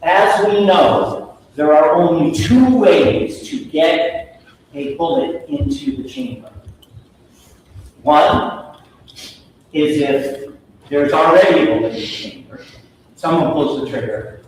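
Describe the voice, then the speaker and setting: Male prosecutor delivering a closing. Deliberate, slow, emphatic phrasing, heard in a very echoey room from far off. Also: on the high side, big pitch swings. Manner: emphatic, declarative, short repeated phrases. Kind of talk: courtroom closing argument. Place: large indoor courtroom. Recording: echoey courtroom from far off, faint noise.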